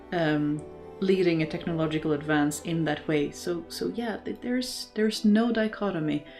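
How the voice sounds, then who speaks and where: A woman's voice: lowish voice, darkish tone. A woman from Norway, chatting casually and enthusiastically as a livestream host, in a thoughtful, rambling way. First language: Norwegian. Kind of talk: casual chat, reading and answering live comments.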